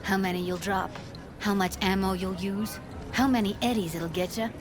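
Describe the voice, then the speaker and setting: A woman's voice: medium pitch, steady tone, moderate pace, over music, close to an everyday voice. An actress playing a hotheaded, jaded young female technician in a dystopian video game, with blunt, slangy, clipped delivery. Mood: bitter, defiant. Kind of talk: naturalistic video game dialogue.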